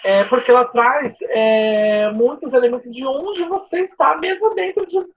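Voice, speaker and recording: A woman's voice: high voice, a little slow, slight background noise. Chatty woman teaching from São Paulo's coast. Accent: Brazilian Portuguese, coastal São Paulo. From São Paulo state coast, Brazil. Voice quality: sibilant S. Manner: informal, chatty, frequent "né?" tags and restarts. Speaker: Portuguese language teacher. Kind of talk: live online class.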